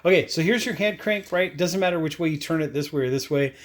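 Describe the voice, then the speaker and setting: A man's voice: fairly high pitch, fast. A man giving a casual, unscripted product demonstration in a rambling, offhand way, often checking in with tag questions and repeating catchphrases. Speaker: product reviewer.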